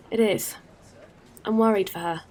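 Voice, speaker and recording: A woman playing a caring, earnest space traveler in an audio drama, speaking with gentle hesitancy. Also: medium pitch, fairly even intonation, slow, natural voice. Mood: worried.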